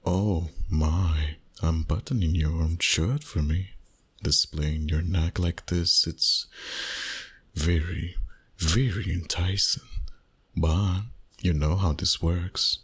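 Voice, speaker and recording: A flirty, commanding male vampire played by a man for an intimate ASMR roleplay. His voice sits very low and comes out husky and breathy. He takes his time, teasing softly and tenderly with slow, seductive phrasing. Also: fairly even intonation, heavily acted. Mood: hungry, seductive. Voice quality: breathy, husky, often near a whisper.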